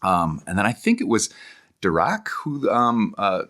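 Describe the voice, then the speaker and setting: Very low-voiced man, halting and scholarly. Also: huge pitch swings, a bit bright. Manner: halting, searching, scholarly phrasing with repeated self-corrections. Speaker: guest author. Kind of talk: conversational interview explanation of ideas.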